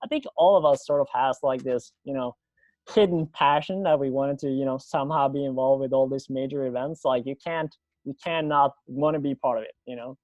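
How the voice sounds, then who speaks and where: A man's voice: mid-range voice, fairly even intonation, a little fast, darkish tone, no echo, dull audio. A man hosting a podcast, interviewing in a casual, chatty and slightly rambling way. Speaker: podcast host.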